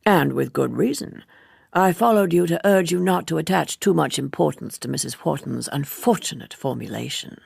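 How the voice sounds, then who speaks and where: A woman voicing a polite, self-possessed, evasive gentleman in an audiobook, with a measured, formal and courteous manner. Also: low-pitched, expressive, vocal fry, husky, no room sound, clean studio sound, full character voice. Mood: suave. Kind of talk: audiobook character dialogue.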